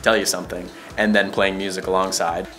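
A man's voice: low voice, flat delivery, a little fast, fairly bright tone. A young man talking casually in a documentary interview. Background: guitar music.

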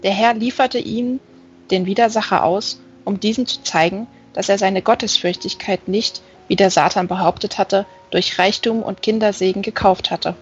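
A woman voicing a gentle, learned male fallen angel in an audiobook, earnestly explaining scripture. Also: mid-range voice, steady tone, moderate pace, lo-fi sound, slight background noise, natural voice.

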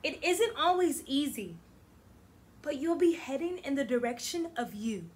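A young woman teacher reading a picture book aloud to children in an animated, deliberate, encouraging way. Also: high-pitched. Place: small indoor room against plain backdrop.

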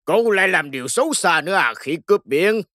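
Forceful, dignified cartoon lion. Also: female voice, fairly low pitch, animated, fast. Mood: stern, apologetic. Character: dignified stern lion, king of the jungle. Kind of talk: children's cartoon acting.

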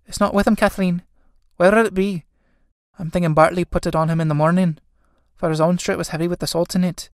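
A woman's voice: lowish voice, steady tone, fairly quick, no room sound, studio quality, voice pushed up, close to an everyday voice. A woman playing a worried young Irish girl, a younger sister in a stage drama, earnest and plain-spoken. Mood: uneasy.

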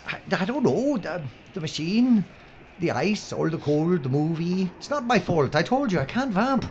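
A man's voice: sits high, sing-song, normal speed, darkish tone. An actor playing an anxious, whiny, bumbling film crew man in an audio drama. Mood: defensive, panicky. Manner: flustered, whiny, babbling. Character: anxious bumbling male film crew member, easily flustered. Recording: dull, noisy audio drama recording.

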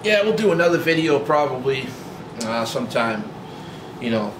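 A man in his forties talking casually to camera about his old truck, relaxed and rambling with lots of fillers and restarts, filmed in a garage. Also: medium pitch, normal speed. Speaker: vlogger. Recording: roomy garage with loud hum.

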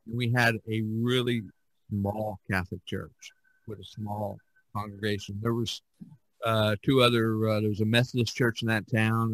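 A man's voice: on the low side, fairly bright tone, no echo, poor audio. A middle-aged or older American man from Indiana hosting a casual podcast chat, often chuckling as he talks. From Indiana, United States. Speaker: podcast host.

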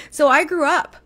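A woman in her forties with a Texas accent chats loosely on her livestream. Her vowels sometimes slide toward the Midwest, and she wanders between topics with quick asides to viewers. She talks into an earphone headset mic in a small room. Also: sits high, fairly bright tone. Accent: Texas, with Midwestern turns. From Texas, United States. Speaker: livestream host. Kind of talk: casual livestream chat. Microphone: earphone headset mic, phone camera close.

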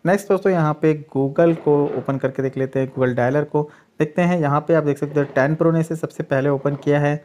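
A man's voice: a little high, fairly even intonation, brisk, few pauses, darkish tone. A man, the host of a tech channel, steadily narrating a side-by-side phone speed test and addressing viewers as friends.

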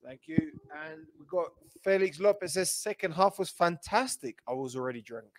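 A man's voice: on the high side, expressive, normal speed. A man in his thirties hosting a casual football show, upbeat, who reads viewer comments aloud. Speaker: show host. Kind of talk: casual live-stream chat. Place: small indoor studio with a backdrop.

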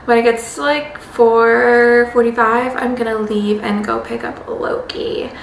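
A woman's voice: on the high side, steady tone, slow, reverberant, far from the mic, slight background noise, low-quality recording. A young woman vlogging at home, talking casually to camera with many "like" fillers. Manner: chatty, loose, many "like" fillers. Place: home living room, indoors.

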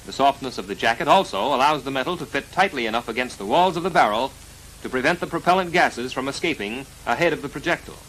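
An American man narrating a 1940s military instructional film in a formal, precise, measured delivery, on a vintage film soundtrack. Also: mid-range voice, expressive, a bit bright, a little hiss or hum, poor audio. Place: voice-over recorded for a film.

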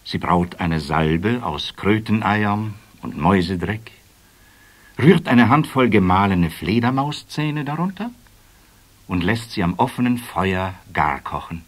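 A man's voice: on the low side, animated, a little slow. A man narrating a scripted children's story as the storyteller of an audio play.

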